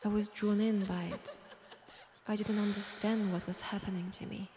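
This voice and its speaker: A woman with a French accent playing an eerie, seductive guide of a magic library in a breathy, half-whispered ASMR roleplay. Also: medium pitch, little pitch movement, close to an everyday voice. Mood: yearning, pleading. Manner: slow, deliberate, hushed. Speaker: roleplay voice actor. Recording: roomy long reverb, faint background noise.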